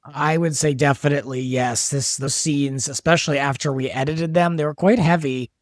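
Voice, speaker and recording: A man's voice: on the high side, fairly even intonation, normal speed, dry recording. Man in casual talk, dry close sound. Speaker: podcast guest, audio soap writer and director. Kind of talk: casual discussion of a drama production.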